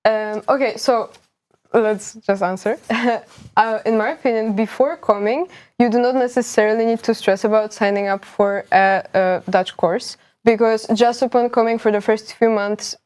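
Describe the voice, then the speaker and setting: A woman's voice: mid-range voice, fairly even intonation, moderate pace, darkish tone. A young woman from Poland, a university student guest, explaining things eagerly in casual chat. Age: young adult.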